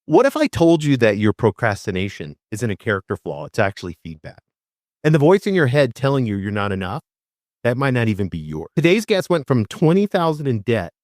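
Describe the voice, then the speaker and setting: A man's voice: mid-range voice, darkish tone, dry recording, clean studio sound. A man hosting a podcast, opening with an enthusiastic intro and then asking questions casually. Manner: enthusiastic, hype-style intro. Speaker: podcast host. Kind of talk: podcast interview.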